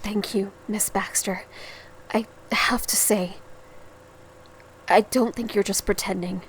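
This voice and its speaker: A woman playing an earnest, guilt-ridden, frightened young hero in a dark audio drama, hesitant and polite. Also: mid-range voice, steady tone, slow, a little hiss or hum, close to an everyday voice, poor audio. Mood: earnest, uneasy.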